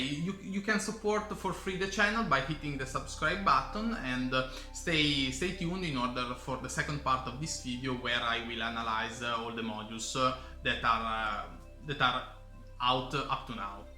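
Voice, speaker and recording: A man with an Italian accent explaining board game rules in English as a channel host, halting with many fillers and restarts. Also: mid-range voice, bright, forceful tone. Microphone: camera microphone. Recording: roomy camera-mic audio with faint music.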